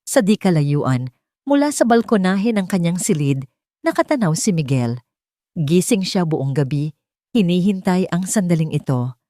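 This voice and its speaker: A woman's voice: on the low side, animated, moderate pace, warm tone, dry recording, clean studio sound. A woman reading a scripted dramatic audiobook as the story's narrator.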